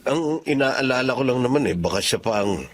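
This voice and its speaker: A man's voice: mid-range voice, expressive, a little fast, slight background noise, natural voice. A man acting a cautious, concerned younger brother in a Filipino radio drama, with a Filipino accent. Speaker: voice actor.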